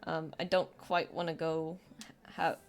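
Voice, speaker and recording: A woman's voice: low voice, flat delivery, measured pace, faint music behind. A young woman with a youthful, girlish voice, chatting casually on a live stream, rambling and self-deprecating with lots of restarts and fillers.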